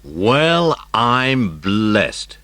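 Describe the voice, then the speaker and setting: A man playing an authoritative police chief, measured and emphatic, in a dramatised children's audiobook. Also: lowish voice, sing-song, bright, forceful tone, no room sound, full character voice. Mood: warmly impressed.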